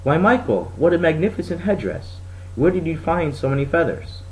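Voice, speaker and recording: A man voicing a kind but firm female schoolteacher in a storybook reading, speaking with deliberate emphasis. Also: fairly high pitch, expressive, darkish tone, voice pushed up, close to an everyday voice, rough recording. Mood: admiring.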